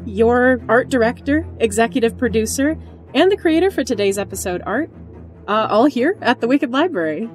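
A woman podcast host reading scripted credits, with music behind her. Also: fairly high pitch.

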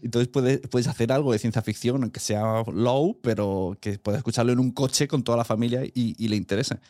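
A man's voice: mid-range voice, steady tone, a little fast, mellow, dry recording. Spanish man, casual talk, dry sound. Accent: Spain Spanish. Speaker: podcast interviewer. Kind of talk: casual conversational interview.

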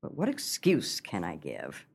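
A woman's voice: rumbling low, huge pitch swings, measured pace, creaky, darkish tone, husky, heavily acted. A woman playing a melodramatic, flustered small-town wife, swooping expressively. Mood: indignant. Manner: theatrical, exclamatory, dramatic sighing. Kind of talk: comic radio play acting.

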